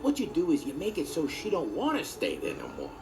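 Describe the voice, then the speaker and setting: Guy doing sneaky slangy cartoon hustler, kinda high n gravelly, scheming storybook character. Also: huge pitch swings, a little fast, over music, full character voice. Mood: sly, scheming. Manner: slangy, conniving. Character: shady streetwise cartoon hustler, folksy schemer.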